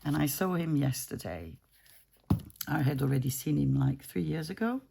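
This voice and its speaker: Woman, 50s, gravelly, easygoing rambling crafter talking to camera at home, faint background noise. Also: deep voice, moderate pace. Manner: rambling, self-interrupting, easygoing. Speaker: junk journaling crafter. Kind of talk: casual chat while crafting.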